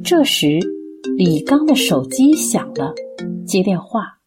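A woman narrating a radio drama in a measured, expressive, scripted storytelling style. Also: mid-range voice, music in the background.

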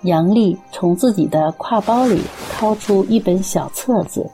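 Slow, mellow female narrator. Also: mid-range voice, faint music behind. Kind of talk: scripted radio drama narration.

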